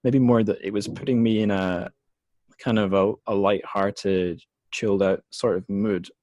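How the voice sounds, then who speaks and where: A man's voice: on the low side, fairly even intonation, unhurried, darkish tone, no echo, lo-fi sound. A man hosting a casual book discussion podcast, thinking aloud in a hesitant, rambling way. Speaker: podcast host.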